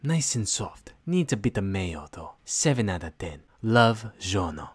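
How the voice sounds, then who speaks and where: A performer voicing a polite teenage boy in a comedic anime fan dub, understated and softly deadpan. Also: deep voice, a little slow, husky, heavily acted. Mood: contented, mild. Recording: background music behind the voice.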